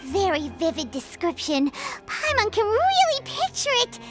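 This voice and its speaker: A little childlike fairy girl for a video game, excitable and full of curiosity. The voice is extremely high and squeaky, swinging animatedly up and down. Loud music plays behind the naive chatter. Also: measured pace, full character voice. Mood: impressed, chatty. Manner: refers to self in third person, naive. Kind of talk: cartoonish video game voice acting.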